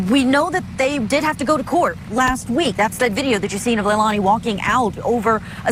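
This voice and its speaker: A woman reporting live as a legal correspondent in an explanatory news style. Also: on the high side. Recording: noisy outdoor street with traffic.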